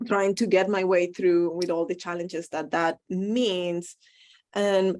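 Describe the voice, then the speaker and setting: A woman's voice: on the low side, darkish tone. A woman from Colombia, a professor asking a speaker an earnest question over a video call, searching for words and restarting often.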